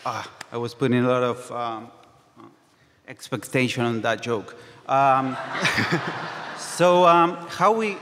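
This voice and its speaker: A man's voice: medium pitch, takes it slowly, pauses often, a bit bright. Non-native man speaking on a hall stage. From Brazil or Argentina. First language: Spanish or Portuguese. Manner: hesitant, many ums and restarts. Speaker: creative director. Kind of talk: conference talk. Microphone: stand mic. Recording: reverberant hall.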